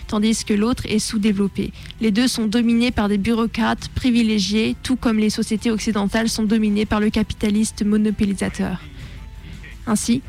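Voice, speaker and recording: A woman reads a written text aloud for radio. Her pitch is medium, and her formal delivery now and then trips on a word. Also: vocal fry, darkish tone. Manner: formal read-out with occasional stumbles. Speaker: reader on a radio show. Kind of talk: reading a political text aloud.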